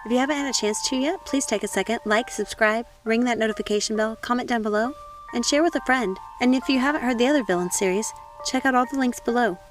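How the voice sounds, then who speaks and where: A woman with a fairly high-pitched voice reads in a storybook narration style. She is an audiobook reader presenting fiction to listeners. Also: fast talker, faint music behind. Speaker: audiobook reader and channel host.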